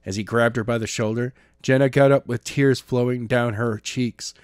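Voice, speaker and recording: A man reads a novel chapter aloud as a podcast narrator. His pitch is middling and his storytelling measured, recorded dry and close with very little room sound. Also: fairly even intonation, smooth, no echo. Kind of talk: audiobook-style reading of a novel chapter, amateur.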